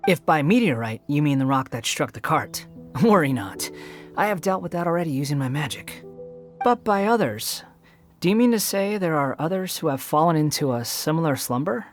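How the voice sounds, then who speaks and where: A woman playing a calm, self-assured magic user in a video game, speaking formally and articulately. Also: low-pitched, normal speed. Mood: composed. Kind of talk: anime video game voice acting. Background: game music.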